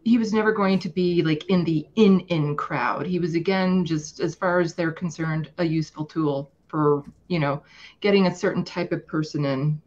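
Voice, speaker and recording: A woman with a fairly low voice talks casually as a podcast guest. The sound is a little muffled and lo-fi as she lays out her opinions. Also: fairly even intonation, moderate pace, darkish tone. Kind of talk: casual political discussion.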